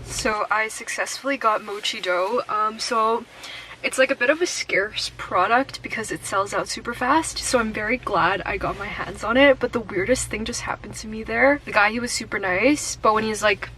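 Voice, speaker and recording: A woman's voice: mid-range voice, moderate pace, sharp tone, poor audio. A young adult woman with a Canadian accent, talking to the camera in a casual, upbeat vlog full of fillers. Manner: chatty and upbeat, many fillers. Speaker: vlogger.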